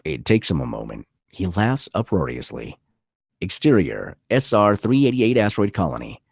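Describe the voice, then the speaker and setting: A man's voice: low-pitched, big pitch swings, normal speed, vocal fry, slightly rough, no echo, clean studio sound. A man narrating a screenplay at a table read, reading out scene headings and stage directions.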